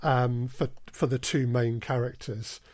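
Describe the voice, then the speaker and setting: A man's voice: mid-range voice, fairly even intonation, measured pace, dry recording, studio quality. A man talking conversationally as an interview guest, explaining his work through anecdotes. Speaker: podcast guest, audio drama producer.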